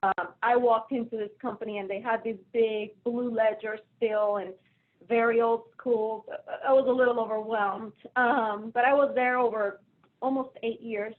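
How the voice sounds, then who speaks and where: A woman's voice: on the high side, steady tone, normal speed. A woman from Colombia with a Colombian Spanish accent, speaking as a guest in a casual remote interview, chatty and self-deprecating. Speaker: guest, finance executive. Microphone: video call. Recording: phone-like narrow audio over video call.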